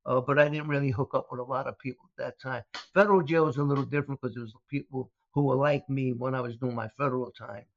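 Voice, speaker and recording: Older New guy, gravelly, meandering storyteller, lo-fi dry sound. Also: medium pitch, fairly even intonation, fast talker, no room sound. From Brooklyn, New York. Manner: rambling, many uh fillers and restarts. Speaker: guest, former mobster turned speaker. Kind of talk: storytelling interview. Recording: dull, dry lo-fi audio.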